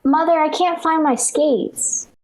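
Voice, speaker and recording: A woman's voice: sits high, steady tone, slow pace. A woman playing a dramatic, cheeky little schoolgirl daughter in a 1940s radio sitcom. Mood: fretful. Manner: whiny, overdramatic.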